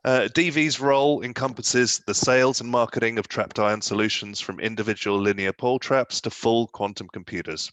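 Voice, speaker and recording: A man's voice: mid-range voice, moderate pace, hardly any pauses, a bit bright, no echo. A man moderating a conference, reading out a speaker's introduction over a video call with filler sounds. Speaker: event moderator.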